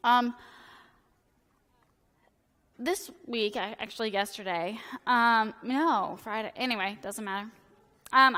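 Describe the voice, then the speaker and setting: A hoarse woman in her thirties preaches in a chatty, self-deprecating way, full of filler words. Her voice is bright and sits a little high. It rings with the long reverb of a church sanctuary. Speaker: priest. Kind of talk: casual, joking sermon.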